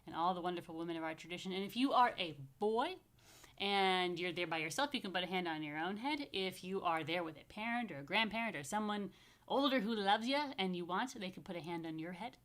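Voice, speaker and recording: A woman's voice: lowish voice, expressive, a little fast, fairly bright tone. A woman speaking as a rabbi to young families, informal and playful, in simple, chatty language meant for children. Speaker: rabbi leading a children's story time and blessings. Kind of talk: informal talk to young families, with story reading and blessings.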